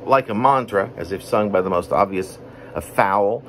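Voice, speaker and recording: A man reading his own poetry as a rambling, wordy monologue, deliberate and pausing mid-phrase. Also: on the low side, fairly bright tone, a little hiss or hum. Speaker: poet reading own work.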